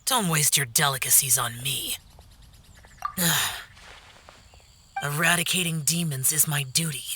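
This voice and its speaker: A man's voice: on the high side, unhurried, pushed and bright, slight background noise. A man voicing a terse, aloof young guardian who slays demons, in a game cutscene. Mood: cold. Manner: terse, grave, distant. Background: game music.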